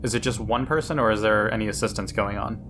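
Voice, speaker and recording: A man's voice: fairly low pitch, fast. A man playing a tabletop game, talking casually through rules and choices with fellow players. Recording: clear background noise, room ambience.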